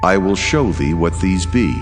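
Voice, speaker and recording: A solemn male angel proclaims scripture in a low, grave voice. The delivery is commanding and declamatory, with strong music playing behind it. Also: lively intonation, normal speed. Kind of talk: dramatized scripture reading. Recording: loud music bed behind voice.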